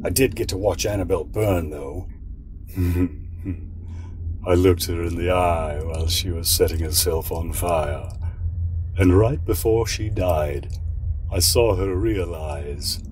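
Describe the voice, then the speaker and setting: A male actor plays a brooding arsonist in an audio drama, gloating and guilt-ridden at once. His low voice creeps along slowly and haltingly over clearly audible background noise. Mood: gloating, sinister. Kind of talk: audio drama confessional monologue.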